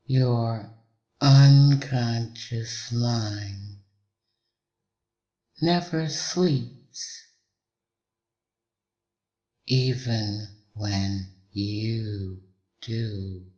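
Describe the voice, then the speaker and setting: A man delivering a hypnotic induction in a measured, deliberate way, with long pauses between short phrases. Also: mid-range voice, dark tone, lo-fi sound.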